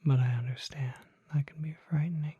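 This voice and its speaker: A performer voicing a refined, mysterious vampire shopkeeper in a soft, breathy ASMR whisper, speaking formally with deliberate pauses. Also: medium pitch, steady tone, no room sound, lo-fi sound. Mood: gentle awe. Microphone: close microphone.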